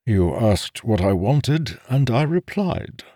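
A grave and stubborn warrior from a fantasy tale, acted by a male narrator. He speaks formally and with measured assurance, his pitch raised and moving expressively, in a dry recording. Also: sing-song, warm tone, dry recording. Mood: unyielding.

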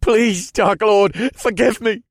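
A man's voice: high-pitched, slow pace, no echo, studio quality, pitched above the performer's own voice, heavily acted. A man voicing a terrified, grovelling male warlock in an audiobook, stuttering and pleading in panic. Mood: panicked begging.

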